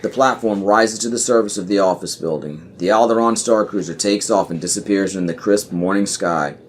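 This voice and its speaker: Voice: deep voice, normal speed, a little hiss or hum. A podcast narrator reading scripted screenplay stage directions.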